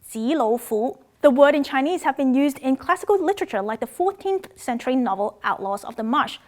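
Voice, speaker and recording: A woman from Macau, raised in Australia, hosting a scripted educational video about words and languages in an upbeat, playful way. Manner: upbeat, playful, with excited jumps in pitch.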